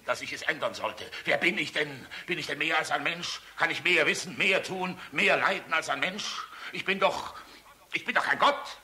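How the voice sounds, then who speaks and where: An actor playing a weary, tormented general in a radio play, moving between clipped military orders and anguished, bitter rhetoric. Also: male voice, mid-range voice, sharp tone. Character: male air force general, weary, tormented, bitterly defiant. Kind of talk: dramatic radio play acting.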